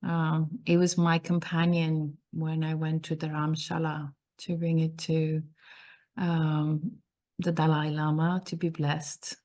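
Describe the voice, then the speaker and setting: A woman from Costa Rica sharing gently and reflectively on a group meditation call, with soft pauses. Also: low-pitched, monotone.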